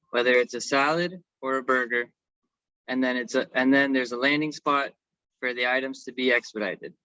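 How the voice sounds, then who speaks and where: Guy walking thru a tech presentation, crisp bright voice, dry slightly muffled audio. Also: medium pitch, no room sound. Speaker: senior engineering consultant presenting. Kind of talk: semi-scripted technical presentation.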